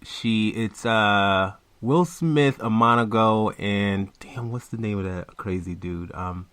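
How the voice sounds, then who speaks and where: A man's voice: on the low side, takes it slowly, fairly bright tone. A man co-hosting a show in casual chat. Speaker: co-host.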